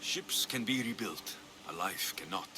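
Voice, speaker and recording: An actor playing a wry, steady young mercenary in a video game cutscene, speaking. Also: male voice, low-pitched, unhurried, noisy background. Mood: calm, earnest. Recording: music bed behind the voice.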